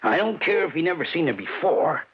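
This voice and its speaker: In a muffled vintage radio play, a husky small-town storekeeper. He is folksy and indignant, stammering and swinging emphatically in pitch. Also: sing-song, brisk. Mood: indignant gossip. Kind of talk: 1940s-50s radio drama acting. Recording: muffled old radio broadcast.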